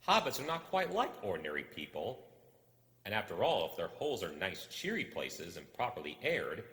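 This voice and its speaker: A deep-voiced man narrates a tale with a lively, swinging intonation. His delivery is measured and dramatic, pausing deliberately between phrases. The sound is roomy, with a long reverberant tail. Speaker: audiobook reader. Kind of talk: expressive storybook narration.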